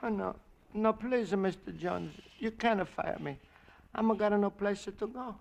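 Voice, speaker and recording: A flustered Italian waiter. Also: male voice, high-pitched, measured pace, vocal fry. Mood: distressed. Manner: apologetic, broken English. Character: gentle, flustered young Italian immigrant waiter. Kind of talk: 1940s radio comedy acting.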